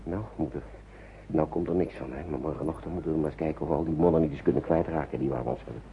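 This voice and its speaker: An actor playing a tired, gentle man who coaxes and soothes young children in a radio play. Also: extremely low pitch, fairly even intonation, very fast. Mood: soothing, tired. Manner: coaxing, soothing, repeats himself. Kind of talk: Dutch radio play acting. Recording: noisy, muffled old radio play recording.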